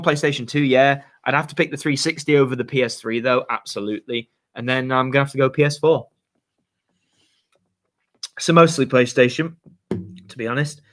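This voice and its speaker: A slightly hoarse man, a channel host casually reading out viewer questions and answering them in a chatty, laid-back way with plenty of fillers and slang. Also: mid-range voice, a bit bright. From Yorkshire, England.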